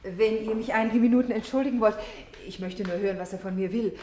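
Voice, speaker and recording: A woman's voice: medium pitch, fast talker, warm tone, slight background noise, close to an everyday voice. Actress as poised, gracious young lady. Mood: gracious, calm. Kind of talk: radio drama acting.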